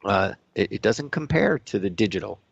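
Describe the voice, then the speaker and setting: A man co-hosting a radio discussion, speaking reflectively and conversationally, often pausing mid-sentence to find his words. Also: on the low side, expressive, gravelly, breathy edge, no room sound, muffled recording. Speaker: radio show co-host.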